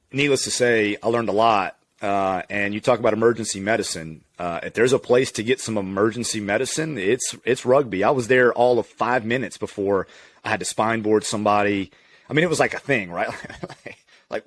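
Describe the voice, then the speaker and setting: A man from Louisiana, maybe in his thirties, a physical therapist telling stories in a relaxed, candid podcast interview and laughing easily. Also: lowish voice. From Louisiana, USA. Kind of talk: casual conversational interview.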